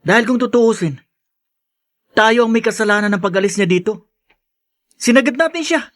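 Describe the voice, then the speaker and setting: A high-pitched young Filipino man, a protective and conscientious brother, as played by an actor. He reproaches earnestly in radio drama style, recorded dry and close. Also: normal speed, fairly bright tone, no echo.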